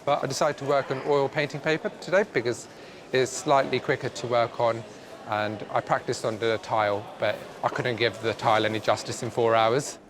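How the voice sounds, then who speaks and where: A male amateur artist talking about his work in a soft, self-conscious way. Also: medium pitch, steady tone, moderate pace. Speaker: contestant artist. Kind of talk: interview to camera.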